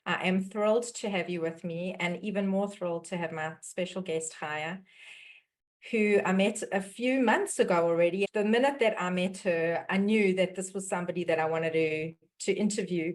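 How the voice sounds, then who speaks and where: A woman with a South African accent, from South Africa, hosting a webinar and talking in long, earnest, flowing sentences. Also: lowish voice. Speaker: webinar host, dietitian. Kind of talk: webinar introduction.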